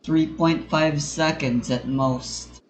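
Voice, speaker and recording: A man's voice: fairly high pitch, steady tone, takes it slowly, reverberant, a little hiss or hum. A man giving casual, dry gameplay commentary, muttering to himself. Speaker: gamer playing on recording. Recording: game music clearly behind the voice. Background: video game music.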